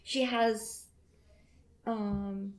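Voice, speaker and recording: A woman's voice: medium pitch, fairly even intonation, very slow. A middle-aged or older woman giving her opinions as a guest in a casual chat.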